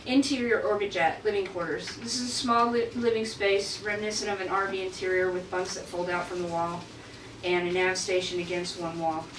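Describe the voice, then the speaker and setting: A woman's voice: lowish voice, steady tone, a little fast. Woman reading stage directions, echoey and distant. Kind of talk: plain screenplay narration at a table read. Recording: echoey room, distant mic, clear noise.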